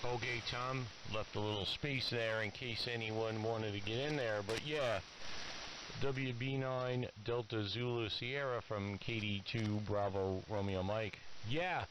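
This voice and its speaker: A man's voice: on the low side, steady tone, a little slow. A man chatting casually and easily as an amateur radio operator over an AM radio transmission. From Long Island, New York. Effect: AM shortwave radio transmission. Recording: hissy, noisy AM ham radio signal.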